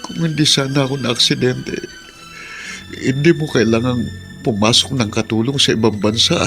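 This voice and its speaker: A man's voice: medium pitch, steady tone, normal speed, a bit bright, faint music behind, close to an everyday voice. A man playing an injured, self-blaming husband in a radio drama, plaintive and self-pitying.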